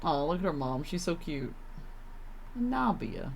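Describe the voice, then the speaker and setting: Slow-talking, low-key casual woman streamer. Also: sing-song, creaky, a little hiss or hum. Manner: slangy, short reactive remarks. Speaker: video game streamer. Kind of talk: casual reaction commentary.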